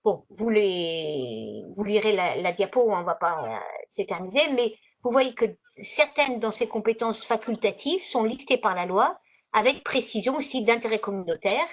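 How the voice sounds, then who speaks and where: A woman with a medium-pitched voice leads an online training session. She explains her slides in an informal, didactic manner, often rewording as she goes. The sound is a slightly dull, lo-fi video call. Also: expressive, moderate pace. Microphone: video conference microphone.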